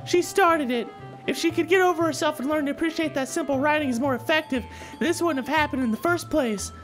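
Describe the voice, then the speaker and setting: A high-voiced, combative anime schoolgirl acted out by a man from a visual novel. She is blunt, indignant and quick to accuse, with music playing behind her. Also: a bit bright, low-quality recording. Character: bratty, combative anime schoolgirl with a short temper. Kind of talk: visual novel character read-aloud.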